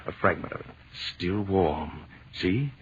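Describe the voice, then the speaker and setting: An actor playing a brusque, excitable professor in 1930s radio style, with impatient, declamatory delivery. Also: male voice, sits low, a little slow. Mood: absorbed. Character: brusque, excitable male professor, scornful of superstition. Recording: dull, noisy old 1930s radio recording.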